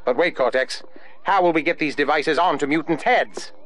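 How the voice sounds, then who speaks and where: A man's voice: on the high side, moderate pace, music in the background, lightly acted. A man playing an eccentric, obsequious henchman scientist with erratic emphasis in a cartoon video game. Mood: dry, fawning.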